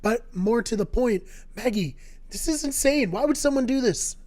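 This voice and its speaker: A man co-hosting a casual video game podcast, his voice going hoarse, talking in an upbeat, jokey way with rambling anecdotes. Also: very high voice, expressive, normal speed, darkish tone. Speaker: podcast co-host. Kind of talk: casual chat.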